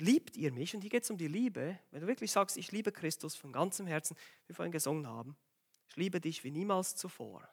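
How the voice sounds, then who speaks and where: A man's voice: high voice, fairly bright tone. A man with a cold and a slightly strained voice, preaching a sermon in an urgent, exhorting way and firing direct questions at the congregation. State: has a cold, voice a bit strained.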